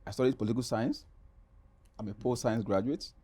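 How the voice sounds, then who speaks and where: A man's voice: mid-range voice, fairly quick, dark tone. A middle-aged Nigerian man, an actor being interviewed, telling personal stories in an emphatic, conversational way with repeated phrases. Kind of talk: animated personal storytelling in conversation.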